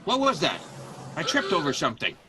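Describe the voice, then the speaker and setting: A man's voice: on the high side, wildly swooping pitch, fairly quick, a crowd in the background. A man playing a bumbling, dazed, good-natured man out of time, exclamatory, in an improvised comic radio play. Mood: startled.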